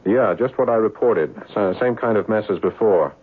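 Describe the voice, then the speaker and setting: A man acting an anxious but rational husband in a 1970s radio drama, with halting, stammering delivery. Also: lowish voice, slightly rough. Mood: tense, explaining. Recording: muffled lo-fi 1970s radio broadcast.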